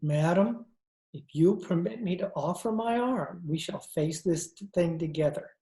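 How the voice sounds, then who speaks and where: A man playing a courtly, tipsy old gentleman with florid, formal, halting speech, in old-time radio drama style. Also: high voice. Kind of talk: 1940s radio drama acting. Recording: roomy, muffled-toned video-call echo.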